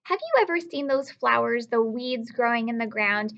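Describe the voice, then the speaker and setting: A woman with a high, very expressive voice teaches young children by reading aloud. She explains things simply and checks in with questions, stretching key words like a storyteller. The recording is a little dull and lo-fi. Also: normal speed, gravelly.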